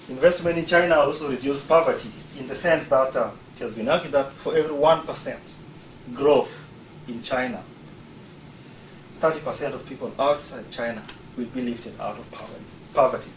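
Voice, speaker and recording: A man from Uganda lays out his argument step by step in a prepared talk. His voice has a middling pitch and is picked up from some way off the mic. The room adds a noticeable echo, and there is a faint hum of ambience behind him. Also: normal speed, distant mic. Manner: explanatory, lists points one by one. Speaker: speaker.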